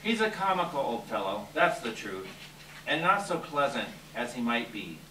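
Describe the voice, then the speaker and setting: A lively stage portrait of a good-natured young nephew, played by a man, jovial and forgiving. The voice swings expressively and rings out brightly. It is picked up from a distance in a roomy space. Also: medium pitch, normal speed. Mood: good-humored. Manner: animated, rhetorical, jovial. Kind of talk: readers theater stage reading. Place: live reading in a roomy hall. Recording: roomy, distant mic, faint hum.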